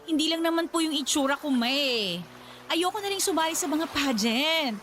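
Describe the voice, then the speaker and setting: A woman's voice: high voice, lively intonation, normal speed, fairly bright tone. A young woman acting a meek, weary daughter with a Filipino accent, pleading and whining in a radio drama. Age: young adult. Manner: pleading, whiny, deferential. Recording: clear background noise.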